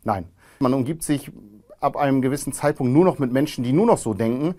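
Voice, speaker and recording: A man in his thirties, a guest in a studio interview, answering in a calm, measured way with frequent hesitations. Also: medium pitch. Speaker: interview guest, former neo-Nazi. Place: studio with grey backdrop.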